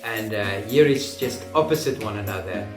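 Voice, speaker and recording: A man's voice: lowish voice, expressive, normal speed, music in the background. A man in his fifties, an Afrikaans speaker, chatting unhurriedly about his watercolor painting, with plenty of fillers and restarts. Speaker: watercolor artist. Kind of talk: relaxed travel and painting vlog. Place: living room, indoors.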